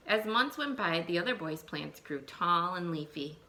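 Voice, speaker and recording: A woman teacher reading a picture book aloud to young children, expressive, thinking aloud and putting questions to her listeners. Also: fairly low pitch, normal speed. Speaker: elementary school teacher.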